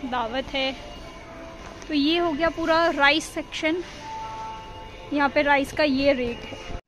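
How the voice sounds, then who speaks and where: A woman's voice: sits high, steady tone, takes it slowly, music in the background, poor audio. A woman in her thirties walking a supermarket with a phone camera, casually reading out prices as she shops. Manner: chatty, walks through aisles reading out prices. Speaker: vlogger. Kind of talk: casual shopping vlog. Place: supermarket aisles, indoors.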